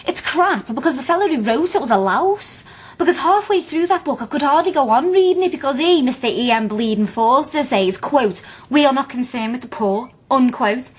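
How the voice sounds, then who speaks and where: A woman's voice: high voice, lively intonation, normal speed. An actress playing a feisty, blunt young working-class woman with a Liverpool accent in a radio drama, chatty and outspoken. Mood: indignant. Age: young adult.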